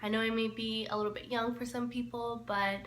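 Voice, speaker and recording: A young woman from El Salvador tells random facts about herself in a relaxed, rambling vlog. Her voice is bright and slightly high, with easy laughter between thoughts. The room sounds echoey, with a faint hiss behind her. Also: fairly quick. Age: 20s. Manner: chatty, laughs often, trails off with "so". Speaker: lifestyle vlogger. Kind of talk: casual get-to-know-me chat.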